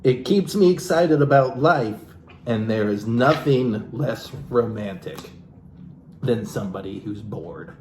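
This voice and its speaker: Animated man reading aloud, echoey room. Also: mid-range voice, slow. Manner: theatrical, playful, wry. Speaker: comedic reader and commentator. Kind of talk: reading a self-help book aloud with joking ad-libbed asides. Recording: echoey room with background hiss.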